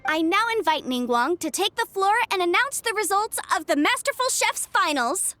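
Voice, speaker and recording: A bright, courteous young woman in a game cutscene. Her voice is very high and lively, with earnest delivery, over music. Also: moderate pace, heavily acted. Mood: formal, courteous. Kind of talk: video game cutscene voice acting.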